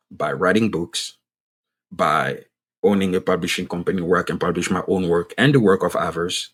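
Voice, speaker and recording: A man's voice: deep voice, a bit bright, husky. A man from Ivory Coast with a French-speaking Ivorian accent, French his first language, speaking as a podcast guest in an earnest way that restarts phrases for emphasis. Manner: earnest, repeats and restarts phrases to stress a point. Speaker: guest economist and author. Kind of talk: podcast interview.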